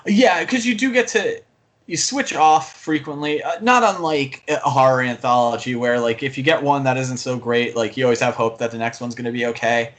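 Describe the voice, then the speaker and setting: A man's voice: mid-range voice, fairly even intonation, normal speed, fairly bright tone. A man co-hosting a podcast, talking casually about movies in an enthusiastic, rambling way. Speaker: podcast co-host and film fan.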